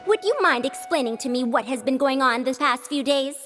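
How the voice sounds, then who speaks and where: A woman's voice: high voice, brisk, fairly bright tone, faint music behind. An actress playing a seasoned woman scholar who lectures younger colleagues sternly. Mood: lecturing. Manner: lecturing, reproachful, firm.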